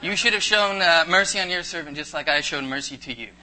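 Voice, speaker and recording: A man's voice: fairly high pitch, fast talker, poor audio. A man acting out comic parable figures, an angry king and begging debtors, in a hammy sermon skit. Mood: scolding. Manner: hammy, exaggerated shouting and pleading.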